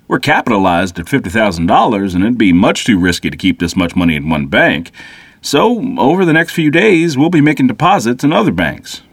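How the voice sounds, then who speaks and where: A man voicing a middle-aged small-town bank president, explaining in a measured way. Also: mid-range voice, very animated, no echo. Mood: businesslike.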